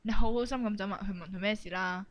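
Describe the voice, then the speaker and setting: A woman's voice: mid-range voice, fast. A woman with a Hong Kong Cantonese accent hosting a comic radio programme with scripted, mock-instructional narration. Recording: dull, lo-fi amateur recording.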